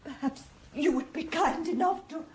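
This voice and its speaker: A woman acting a genteel British lady in shock in a radio mystery play. Her high voice is fluttery and dramatic, with faint noise behind it. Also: normal speed, lightly acted. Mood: faint, flustered.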